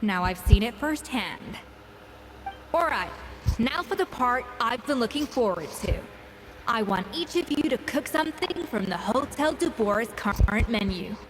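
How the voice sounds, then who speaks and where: An exacting young female chef from an anime game. Her voice sits a little high and moves slowly, with precise, commanding diction and proud judgment. Clear noise runs through the game capture. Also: lively intonation, poor audio. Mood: brisk, appraising. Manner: precise, measured, bossy instruction. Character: exacting, proud young woman master chef judging cooks. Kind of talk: anime video game voice acting.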